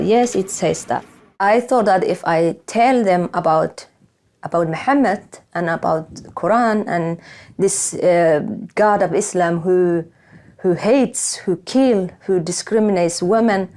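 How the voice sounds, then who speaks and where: Low mellow woman with Somali accent. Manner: halting, repeats words, non-native English. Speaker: interviewee, activist. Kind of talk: interview testimony.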